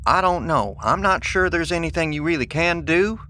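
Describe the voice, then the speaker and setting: Folksy, cautious survivor, bright edgy voice. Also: male voice, animated, moderate pace, a little hiss or hum. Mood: easygoing, wary. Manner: folksy, colloquial, low-key. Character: folksy, cautious, rough-spoken male survivor scavenging supplies. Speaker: actor in audio drama.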